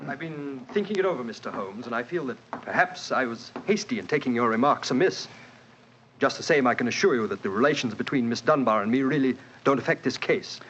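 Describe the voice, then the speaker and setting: An actor playing an arrogant, hot-tempered rich magnate, blunt and proud, in 1940s radio drama style. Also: male voice, mid-range voice, lively intonation, brisk, close to an everyday voice. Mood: grudging, frank. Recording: dull, noisy 1940s radio recording.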